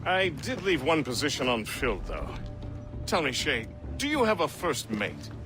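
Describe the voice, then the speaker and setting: An actor playing a jovial, eager first mate, hearty and exclamatory, in a video game. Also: male voice, fairly high pitch, huge pitch swings, normal speed, music in the background. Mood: proud, eager. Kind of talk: dramatic video game acting.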